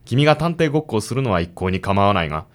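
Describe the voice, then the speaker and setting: Stern, quick-talking father played by a man. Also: fairly low pitch, sing-song. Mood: stern, reproachful. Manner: formal, curt. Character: stern, disapproving father, a police detective. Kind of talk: radio drama acting.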